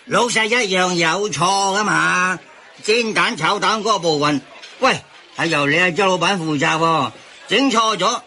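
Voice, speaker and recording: An actor playing an excitable, argumentative Hong Kong teahouse delivery man in a radio comedy, full of exclamations. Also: sits high, moderate pace, slight background noise, heavily acted. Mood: protesting. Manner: exclamatory, protesting. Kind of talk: Cantonese radio comedy acting.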